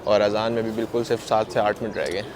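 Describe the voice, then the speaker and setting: A man's voice: lowish voice, flat delivery, fast, crowd noise. A man with a sore throat, chatting to viewers as he films his own travel vlog, calling them brother as he walks and shows things. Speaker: travel vlogger. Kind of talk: handheld travel vlog narration. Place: outdoors and in a large busy mosque extension. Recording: noisy outdoor phone recording.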